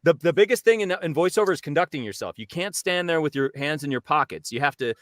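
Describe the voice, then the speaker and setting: A man working as a voice actor, coaching casually in an animated, demonstrative way. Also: a little high, fast, no echo, studio quality. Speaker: professional voice actor giving coaching. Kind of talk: casual instructional chat with demonstration reads.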